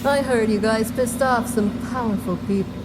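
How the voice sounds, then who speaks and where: A woman's voice: a little high, moderate pace, lightly acted. A woman playing a taunting, cocky biker villain in an audio drama. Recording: loud engine noise behind voice.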